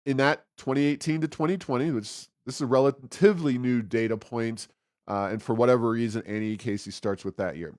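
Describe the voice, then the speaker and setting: A man's voice: medium pitch, brisk, darkish tone, no echo. Dry-sounding studio man, wry political commentary. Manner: wry, sarcastic asides. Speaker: co-host of a policy podcast.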